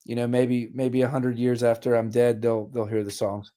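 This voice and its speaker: A musician in his forties, from Richmond, Virginia, speaking as a radio guest in a candid, wry, matter-of-fact way. His tone is mellow and relaxed, and his voice sounds a little worn. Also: medium pitch, moderate pace. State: slightly losing his voice. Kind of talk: casual interview chat.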